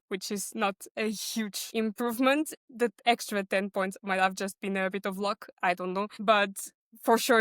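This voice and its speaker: A woman's voice: mid-range voice, fairly bright tone, smooth, no room sound. A woman in her twenties from Portugal with a Portuguese accent, telling her own story conversationally as a podcast guest. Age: 20s. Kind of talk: conversational personal account.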